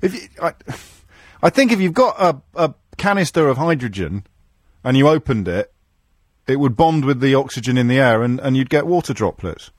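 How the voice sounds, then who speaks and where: A man's voice: medium pitch, big pitch swings, normal speed, no echo. Dry, teasing radio host man. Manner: dry, teasing, self-mocking. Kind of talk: radio phone-in chat. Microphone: studio microphone.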